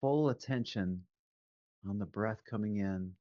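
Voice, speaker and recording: A man leading a guided meditation as a teacher, calm and measured, giving gentle instructions with long pauses. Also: lowish voice. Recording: phone-like narrow band, roomy echo.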